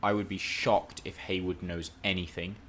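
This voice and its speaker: Deep-voiced man, opinionated podcaster. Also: flat delivery, normal speed, a bit bright, a little hiss or hum. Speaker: podcast co-host. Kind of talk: casual film and TV discussion.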